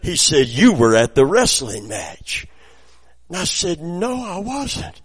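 A raspy-voiced preacher, middle-aged, telling stories from his week in a sermon. His voice sits a little high for a man, and he drops to a murmur before rising to loud, ringing praise. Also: very animated, slow. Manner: swings between quiet storytelling and loud exclamations. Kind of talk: sermon with personal anecdotes.

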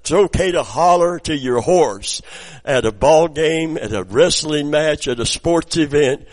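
Raspy preacher with a lively delivery. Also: male voice, a little high, unhurried. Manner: swings between quiet storytelling and loud exclamations. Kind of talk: sermon with personal anecdotes.

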